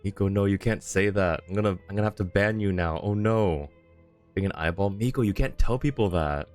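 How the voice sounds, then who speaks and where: A man's voice: on the low side, a little fast, darkish tone, faint music behind. A man doing casual live game commentary as a streamer, playful and mock-dramatic as he chats to viewers.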